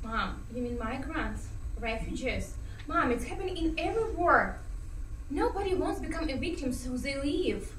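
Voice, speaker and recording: A woman acting out a fervent young daughter set on going to war, her voice very high and urgent. She is heard from a distance in a roomy space, with a faint hiss behind her. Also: expressive, normal speed, fairly bright tone. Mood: pleading. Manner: impassioned, pleading.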